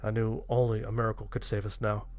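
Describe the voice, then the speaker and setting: A man's voice: lowish voice, flat delivery, quick pace, darkish tone. A man reading a scripted first-person horror story as its narrator.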